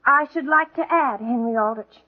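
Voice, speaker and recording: A woman's voice: a little high, normal speed, natural voice. An actress playing a jealous, scolding teenage girl in a 1940s radio comedy, indignant and accusing. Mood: haughty, offended. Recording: tinny, thin vintage radio recording.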